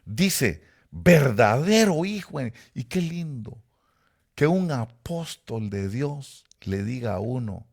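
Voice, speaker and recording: Animated preacher with a Guatemalan accent. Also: male voice, takes it slowly, creaky. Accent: Guatemalan Spanish. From Costa Rica. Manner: animated storytelling with sudden rises in pitch and volume. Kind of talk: sermon with personal anecdotes.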